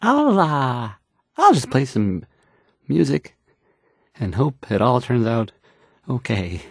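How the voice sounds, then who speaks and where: A man's voice: medium pitch, sing-song, takes it slowly, gravelly, breathy edge, no echo, studio quality, heavily acted. An actor playing a shy, awkward young radio DJ in a game, stammering and trailing off as he hesitantly reads the news. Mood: nervous, unsure.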